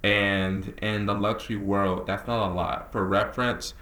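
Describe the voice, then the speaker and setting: A man of nineteen talks casually and earnestly to camera as a creative director, drawling some words and rushing others. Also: lowish voice, fairly even intonation, bright, forceful tone, slight background noise. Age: young adult. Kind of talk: casual talking-head vlog. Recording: echoey room.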